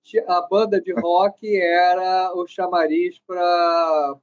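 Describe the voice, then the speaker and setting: A man's voice: high-pitched, little pitch movement, takes it slowly. A man, a cultural producer and screenwriter, telling anecdotes as a guest, with hesitant starts. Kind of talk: storytelling interview answers. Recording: dull lo-fi audio.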